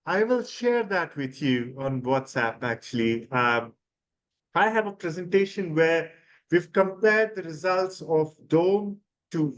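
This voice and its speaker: Male ENT specialist, fifties, from India; hesitant explainer, slightly high voice. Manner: explanatory, hesitant with fillers and restarts. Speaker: ENT surgeon guest. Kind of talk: expert interview answers.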